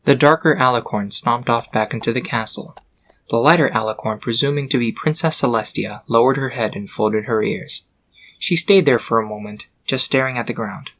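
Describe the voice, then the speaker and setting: A man's voice: medium pitch, normal speed, fairly bright tone, slight background noise. A man reading scripted storybook narration for a fan fiction.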